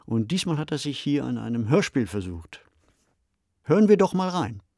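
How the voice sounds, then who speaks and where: A man's voice: mid-range voice, sing-song, normal speed, a little mellow, no echo, clean studio sound. A man speaking as a podcast expert, giving conversational commentary in full, explanatory sentences.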